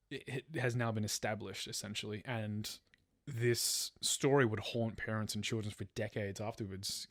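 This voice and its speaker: Man, lowish voice, podcast host, true crime storytelling, very dry recording. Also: no echo. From Australia.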